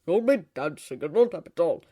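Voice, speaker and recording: A woman's voice: fairly low pitch, big pitch swings, moderate pace, warm tone. A woman voicing a doting father in a story, eager and encouraging. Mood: jubilant.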